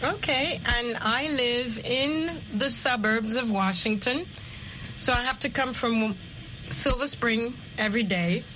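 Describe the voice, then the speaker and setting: A woman hosting an English lesson show, explaining simple words deliberately and chatting with guests. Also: on the high side, bright, forceful tone, slight background noise, rough recording. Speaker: host and English teacher. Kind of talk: slow, clearly explained language lesson chat.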